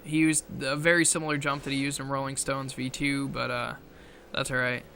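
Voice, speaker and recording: A man giving casual commentary over gameplay footage, halting with many fillers, clearing his throat often, his voice strained from talking so long. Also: mid-range voice, flat delivery, sharp tone, a little hiss or hum. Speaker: gaming video creator.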